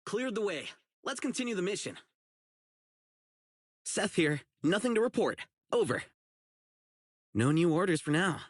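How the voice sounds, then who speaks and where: A man voicing an earnest, righteous young public security officer in a video game, dutiful and excitable, with dramatic delivery. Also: high voice, husky, exaggerated voice. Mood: resolute, battle-ready. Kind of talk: isolated game character voice lines, dramatic acting.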